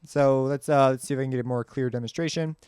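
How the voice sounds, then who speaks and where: A man giving a casual, off-the-cuff software walkthrough as a tutorial host, informal and slangy, rambling as he goes. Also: medium pitch, fairly even intonation, quick pace, music in the background.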